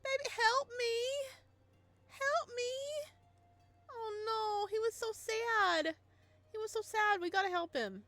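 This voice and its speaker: A woman giving casual let's play commentary as a gaming host, with excitable, gushing reactions. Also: extremely high pitch, slow, long pauses, dry recording, soft background music.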